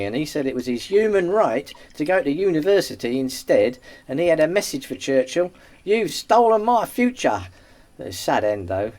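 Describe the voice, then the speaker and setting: A man's voice: a little high, a little mellow. A man playing a chatty, cheeky wartime post room clerk with a working-class London accent in a radio play. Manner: colloquial, cheeky storytelling.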